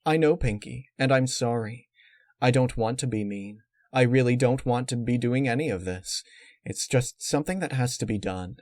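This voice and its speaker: Calm, calculating villainess unicorn read by a man in a mid-pitched voice, lecturing and matter-of-fact, dry close recording for a dark storybook. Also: normal speed, no room sound, natural voice. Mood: cold, explaining. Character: cold, calculating female unicorn villain, calmly menacing.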